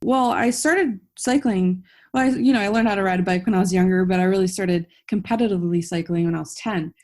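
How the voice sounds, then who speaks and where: A woman's voice: medium pitch, fast, darkish tone. A young woman from Florida, a professional cyclist, answering interview questions in a chatty, upbeat way. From Florida, United States. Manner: chatty, upbeat, with quoted self-talk. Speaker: guest, professional track cyclist.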